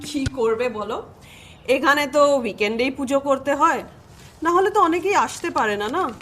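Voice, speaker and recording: A woman's voice: high voice, expressive, normal speed, a bit bright, soft background music. An actress playing a busy young adult daughter in an audio drama, an indulgent peacemaker between her parents. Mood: placating.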